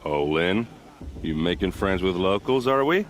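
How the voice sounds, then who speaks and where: A man's voice: low voice, lively intonation, a little slow, a little hiss or hum. An actor playing a breezy, flirtatious, confident man chatting teasingly in a fantasy game. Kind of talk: casual dialogue in a fantasy game.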